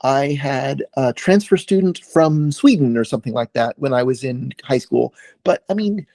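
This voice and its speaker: A man's voice: medium pitch, lively intonation, moderate pace, a little mellow, dry recording. An American man in his forties from Oregon, a podcast guest chatting casually over a video call, rambling with many fillers and restarts. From Oregon, USA. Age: 40s. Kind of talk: casual conversation.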